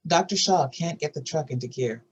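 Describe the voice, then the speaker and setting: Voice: mid-range voice, a little fast, slightly rough, highs cut off. A narrator reading scene headings and action lines from a screenplay at a table read. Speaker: narrator reading stage directions.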